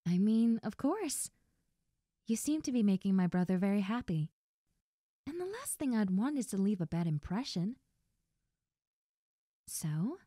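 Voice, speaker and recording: A woman playing a best friend's friendly, nosy older sister in an intimate roleplay audio drama, talking softly and coyly straight to the listener. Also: mid-range voice, no echo, studio quality. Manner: soft, gentle, coy, addressing the listener directly.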